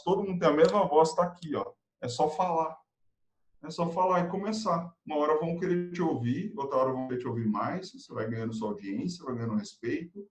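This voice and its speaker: A Brazilian man speaking as a guest consultant in a live video chat, energetic and motivational, rattling off quick lists of advice. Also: on the high side. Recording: roomy, echoey room sound.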